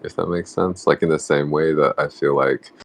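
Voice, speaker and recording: Very deep, creaky, musing man. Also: steady tone. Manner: tentative, halting, musing. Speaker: book club discussion participant. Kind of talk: casual reflective discussion.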